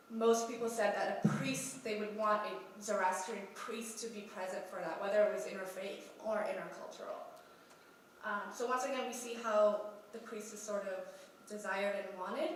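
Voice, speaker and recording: A young woman who is sick and keeps clearing her throat, presenting her research at a conference with many hesitant ums. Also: medium pitch, a bit bright, a little hiss or hum. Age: young adult. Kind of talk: research presentation at a youth congress. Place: large conference hall. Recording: echoey hall from far off.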